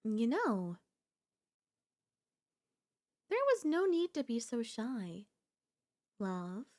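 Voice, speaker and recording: A high-pitched, sweetly possessive yandere girlfriend, acted by a woman for an ASMR roleplay. She is theatrical and insistent, coaxing her beloved with flowery endearments and elegant teasing. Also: sing-song, takes it slowly, a little mellow. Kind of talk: ASMR audio roleplay acting.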